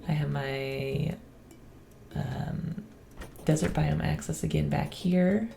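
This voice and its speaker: A woman still getting over a cold talks through her game world in a raspy, deeply low voice full of gravel. Her tone is dark and mellow, and she chats along easily with soft ums. A faint hiss sits behind her. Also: slow. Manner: relaxed, chatty, with frequent ums and soft laughs. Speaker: gaming channel host.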